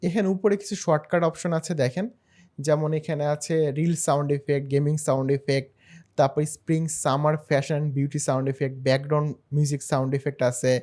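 Fast-talking man narrating a tutorial. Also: a little high, fairly even intonation, darkish tone. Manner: step-by-step, explanatory. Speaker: tutorial host. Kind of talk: screen-share software tutorial narration.

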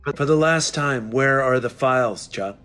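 An actor playing a menacing villain agent who threatens coldly in a script read. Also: male voice, mid-range voice, moderate pace, a bit bright, faint music behind, natural voice. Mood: cold menace. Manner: cold, threatening. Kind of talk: table read acting.

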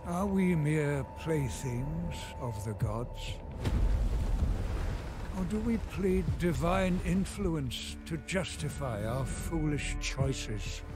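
A man narrating an ancient war saga for a strategy game in a grave, measured tone. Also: on the high side, lively intonation, music in the background. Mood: solemn. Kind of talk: scripted epic narration.

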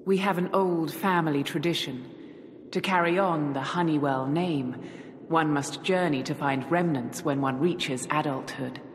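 An actress playing a stoic, reserved noble warrior woman in a video game, speaking in a measured, formal and restrained way. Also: low-pitched, reverberant, in a large hall, slight background noise. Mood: solemn, reflective. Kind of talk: video game cutscene acting.

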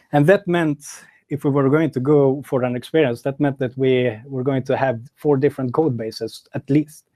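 A man presenting at a tech meetup, moving through his slides one by one. His delivery is relaxed with a light joking touch, at a middle pitch. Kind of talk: conference talk with slides.

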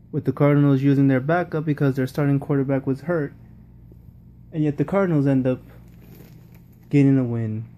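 A man's voice: medium pitch, mellow, slight background noise. A man giving casual, rambling post-game football commentary, often repeating himself and restarting sentences. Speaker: football vlogger.

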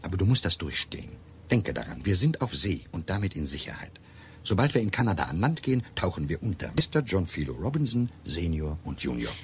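An actor playing a calm, evasive fugitive doctor in a German radio drama, smooth-talking and reassuring. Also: male voice, deep voice, fairly quick, slight background noise. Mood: calm reassurance.

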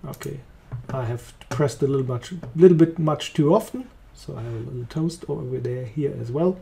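A man with a German accent walking through a software demo, talking casually as he clicks along. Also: mid-range voice, moderate pace, vocal fry. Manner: relaxed, thinking aloud while clicking. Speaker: software trainer. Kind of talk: screen-recorded software demo.

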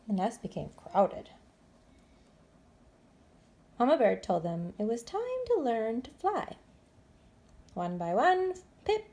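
Female storybook narrator, lively swooping pitch, unhurried pace, dramatic phrasing, expressive delivery. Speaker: storybook reader.

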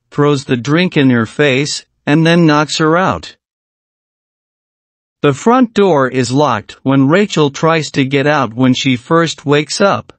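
A man with a somewhat high voice reads a scripted film plot summary, keeping a steady, even pace throughout. The sound is dry and close, with no room around it. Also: dry recording. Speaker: movie recap narrator.

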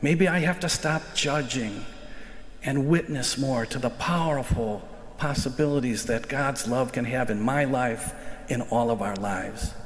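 Older American priest in echoey church. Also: male voice, medium pitch. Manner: earnest, reflective, slows to stress key phrases. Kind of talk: sermon. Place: large church sanctuary, indoors. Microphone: lectern microphone. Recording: echoey church livestream with long reverb.

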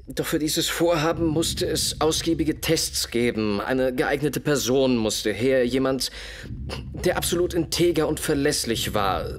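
An actor playing a brilliant, grave Victorian detective in a German radio play, explaining a case in a deliberate, measured way. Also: male voice, mid-range voice, a little hiss or hum, lightly acted. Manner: deliberate, measured, expository, with dramatic repetitions.

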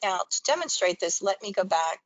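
A woman's voice: mid-range voice, very animated, brisk, dry recording. A woman presenting a training webinar, explaining things step by step in an instructional screen-share style.

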